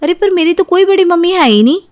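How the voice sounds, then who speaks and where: Woman putting on a high, girlish, emotional young bride. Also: lively intonation, fast talker, a little mellow. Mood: defensive.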